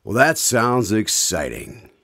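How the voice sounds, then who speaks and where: A man's voice: lowish voice, wildly swooping pitch, slow, gravelly. A man hosting a radio drama, delivering scripted questions and announcements. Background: guitar music.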